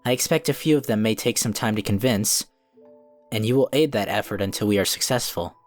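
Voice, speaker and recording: A man playing a calm, diplomatic cookie wizard who speaks in an earnest, formal, measured way in a fan cartoon drama. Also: fairly low pitch, faint music behind, close to an everyday voice. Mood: calm, firm. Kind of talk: scripted fan audio drama acting.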